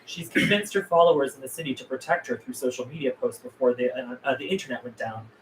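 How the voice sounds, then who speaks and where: A man playing a wry, protective and practical survivor in a drama script read, brisk and matter-of-fact. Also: medium pitch, close to an everyday voice. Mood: wry explaining. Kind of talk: script table read acting. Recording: echoey room with background noise.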